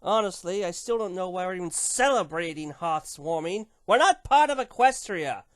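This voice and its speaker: A woman's voice: lowish voice, expressive, normal speed, dry recording, studio quality, lightly acted. A woman playing a grumpy, menacing winged unicorn empress in a dramatic cartoon story reading, grumbling, dismissive and sardonic. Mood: annoyed, dismissive.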